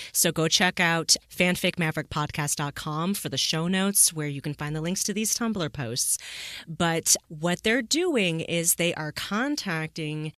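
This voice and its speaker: Woman podcast host, low-pitched and dry. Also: fairly bright tone, no room sound. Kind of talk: conversational podcast commentary.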